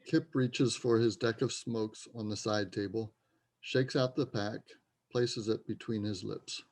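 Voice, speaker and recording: A man's voice: lowish voice, fairly even intonation, unhurried, darkish tone. A man reading the stage directions as narrator of a readers' theater play, in scripted narration.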